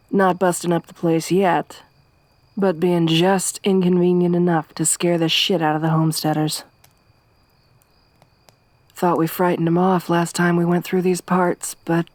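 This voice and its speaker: A woman voicing a tough, wry young survivor woman in a soft-spoken ASMR roleplay, with a dry, teasing, gruff manner. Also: fairly low pitch, no echo, lightly acted.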